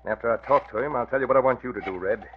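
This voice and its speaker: A man's voice: mid-range voice, rapid-fire, lightly acted. A man playing a smug, scheming crime boss with commanding, slangy talk in a 1940s radio drama. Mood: angry, commanding. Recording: tinny old radio recording.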